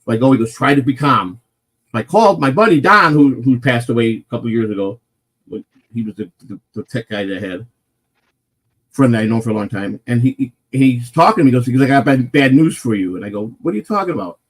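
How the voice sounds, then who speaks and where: Loud guy on a home live stream, mid-pitch, rambly, swears a lot, keeps restarting his sentences. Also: animated, fast talker, rough recording. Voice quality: naturally loud voice. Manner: rambling, swears freely, restarts and voices others in quotes. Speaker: morning show host telling a personal story. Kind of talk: casual live-stream chat, storytelling.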